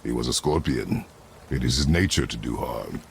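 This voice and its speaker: Very deep, gravelly stern warrior father. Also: normal speed, a little hiss or hum, heavily acted. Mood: grave storytelling. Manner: curt, formal, deadpan. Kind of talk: dramatic video game acting.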